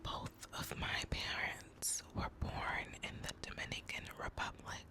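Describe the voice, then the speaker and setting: A young woman from Boston whose first language is Spanish, telling personal facts in a slow, soft ASMR whisper. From United States (Boston). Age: young adult. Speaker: ASMR creator.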